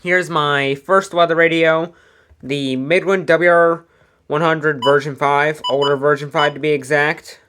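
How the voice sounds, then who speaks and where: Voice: medium pitch, fairly even intonation, measured pace, heavy background noise. Casual, rambling American hobbyist presenter. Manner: rambling, informal, matter-of-fact. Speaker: weather radio collector presenting a collection. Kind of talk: casual product showcase vlog. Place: indoor room at home.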